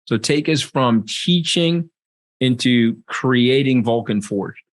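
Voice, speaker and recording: A man's voice: mid-range voice, animated, slow pace. Stumbling, eager male interviewer. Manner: enthusiastic, stumbling restarts. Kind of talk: interview questions.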